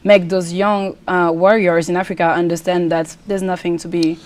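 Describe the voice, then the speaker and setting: Young woman, French accent, earnest and halting, slightly low voice, interview guest. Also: little pitch movement, a little mellow. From Democratic Republic of Congo. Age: young adult. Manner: earnest, halting with many restarts. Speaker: pageant contestant, student guest. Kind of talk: casual interview talk.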